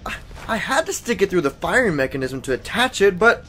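Man as an eager, very animated goofy soldier. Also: high voice, brisk, a little hiss or hum. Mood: eager, proud. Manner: enthusiastic, cocky. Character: eager, goofy male private who tinkers proudly. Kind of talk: comedic radio-play acting.

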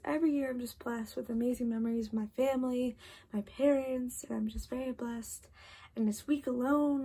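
Eighteen-year-old woman, sleepy bedroom vlog, rambling filler-heavy chat; mellow, gravelly voice. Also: fairly high pitch. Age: 18, about to turn 19. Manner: chatty, lots of "like" and "literally", restarts. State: tired, late at night. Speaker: vlogger. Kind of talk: casual late-night chat to camera. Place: bedroom at home, indoors.

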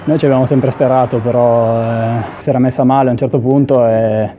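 A man's voice: mid-range voice, steady tone, a little fast, mellow. A man in his twenties, a footballer answering questions in a post-match interview. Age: 20s. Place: indoor press area in front of sponsor backdrop. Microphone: handheld interview mic. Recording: slightly dull, noisy interview audio.

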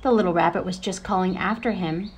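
A middle-aged woman reading a children's picture book expressively, with drawn-out, dramatic phrasing. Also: lowish voice, darkish tone, breathy edge. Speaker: storyteller. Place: outdoors on a paved porch.

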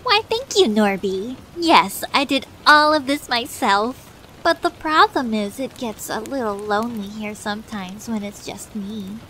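A woman playing a flirty, soft-spoken cartoon beaver sweetheart. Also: fairly high pitch, lively intonation, unhurried, a little hiss or hum, poor audio. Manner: soft, affectionate, seductive. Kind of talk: fan fiction dramatic reading. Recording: music behind the voice.

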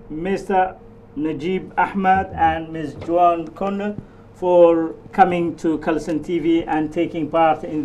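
A middle-aged man from Somalia hosting a TV studio discussion, speaking haltingly with many fillers and restarts. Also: fairly high pitch, darkish tone, slight background noise. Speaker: TV talk show host. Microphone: lapel mic.

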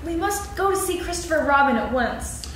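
A woman's voice: high-pitched, lively intonation, moderate pace, a bit bright. A woman reading the part of a small, timid, polite young pig in a staged reading of a children's play. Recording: echoey stage, distant mic, background noise.

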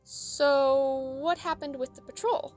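A woman's voice: sits high, fairly even intonation, slow pace, a little mellow, faint music behind. A woman playing an earnest, anxious young female dragon in an audio drama, speaking with eager urgency. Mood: curious.

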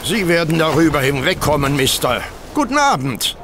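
Gruff, high-pitched male market trader. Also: very animated, normal speed, background noise. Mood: smug. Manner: brusque, cursing, combative. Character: gruff, irritable, stubborn male market poultry dealer. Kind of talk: German audio drama acting.

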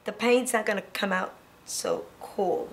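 A middle-aged woman teaching preschoolers in a classroom, talking young children through each step in an encouraging, animated way. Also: medium pitch, a little slow. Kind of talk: children's educational demonstration. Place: indoor classroom.

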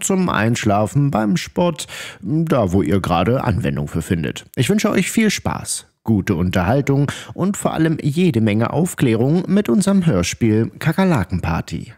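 A man's voice: medium pitch, wildly swooping pitch, unhurried, darkish tone. A man speaking as a channel host, introducing a show to his listeners. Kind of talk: scripted-style intro narration to listeners.

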